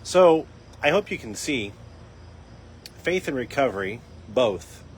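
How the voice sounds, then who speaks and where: A man hosting a live faith and recovery talk, his voice a little raspy, explaining in an earnest teaching tone with frequent restarts. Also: medium pitch, very animated, takes it slowly, slight background noise. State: raspy voice from dryness. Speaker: host. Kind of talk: casual talk on a live stream.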